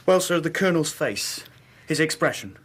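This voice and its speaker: A man's voice: a little high, lively intonation, normal speed, a bit bright, a little hiss or hum. A man acting an earnest, deferential Victorian coachman in a radio drama. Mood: uneasy, grave.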